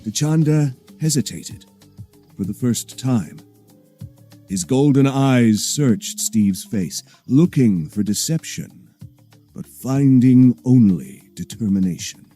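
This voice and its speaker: A man's voice: medium pitch, slow, dark tone, soft background music. A man narrating an action audio drama from a script in a dramatic storytelling style.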